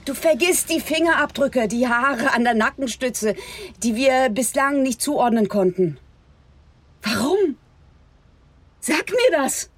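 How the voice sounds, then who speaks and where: A woman's voice: high-pitched, lively intonation, slow, long pauses, breathy edge, full character voice. An actress playing a stern, confronting police detective in her forties in a radio drama, firm and direct. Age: 40s. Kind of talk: German radio drama acting.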